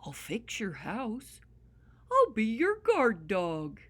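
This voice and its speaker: A woman voicing a cheerful, chatty female dog in a storybook, in a bubbly soft manner. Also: a little high, huge pitch swings, slow pace, full character voice. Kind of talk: storybook character voice.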